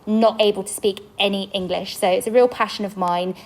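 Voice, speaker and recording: A woman's voice: mid-range voice, steady tone, brisk, rough recording. A young adult woman from Poland, a native Polish speaker and an inclusion teacher, earnestly explaining language learning to parents. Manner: earnest, with occasional restarts. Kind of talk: podcast-style school presentation for parents.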